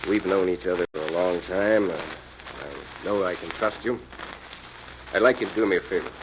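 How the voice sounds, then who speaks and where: A man's voice: deep voice, moderate pace, natural voice. An actor playing a confident, wealthy horseman in a 1940s-style radio mystery. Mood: confiding. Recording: muffled old radio recording, heavy crackle.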